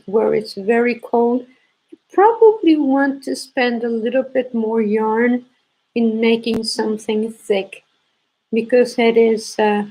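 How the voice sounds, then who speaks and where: Knitting teacher, woman, hoarse from a cold; gravelly, husky, unhurried, repeats points. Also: on the high side, dark tone, low-quality recording. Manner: slow, repetitive explaining with restarts. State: sore throat, congested, coughing. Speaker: knitting teacher hosting a live stream. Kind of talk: casual live knitting lesson.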